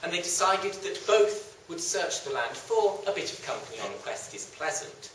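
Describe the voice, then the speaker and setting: A storyteller giving theatrical scripted narration, with dramatic, drawn-out emphasis. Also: mid-range voice, very animated, fairly bright tone. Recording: echoey room, distant mic, faint noise.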